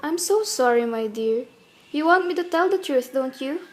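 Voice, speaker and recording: High-voiced, poised young lady. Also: animated. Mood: gentle, tactful. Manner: measured, genteel, tactful. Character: poised, gentle, candid young woman with quiet wit. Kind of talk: radio play acting. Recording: faintly noisy radio play recording.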